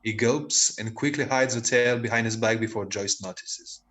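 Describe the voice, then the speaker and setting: A man's voice: medium pitch, normal speed, fairly bright tone. A man giving scripted narration, reading out the stage directions of a comedy play.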